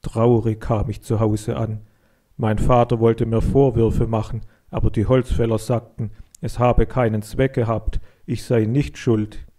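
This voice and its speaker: A man reading a scripted children's story in an expressive, deliberate storytelling manner. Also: mid-range voice.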